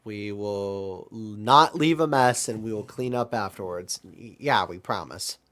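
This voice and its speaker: A man playing bewildered, apologetic travelers in an amateur audio drama, with a halting, stammering delivery. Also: lowish voice, fairly bright tone. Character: bewildered, apologetic accidental travelers stranded in the void.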